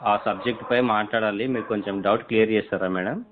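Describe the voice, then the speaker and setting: A man's voice: lowish voice, fairly even intonation, fast. A man, a reporter speaking deferentially in a phone interview. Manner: deferential, repeatedly saying madam. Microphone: phone line. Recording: noisy, tinny phone call recording.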